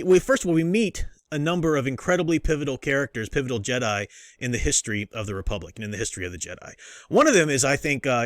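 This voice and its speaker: A man's voice: fairly high pitch, fairly bright tone, no room sound, studio quality. A man hosting a casual review, explaining things in an enthusiastic, emphatic, teacherly way. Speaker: host and book reviewer. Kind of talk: casual comic review commentary.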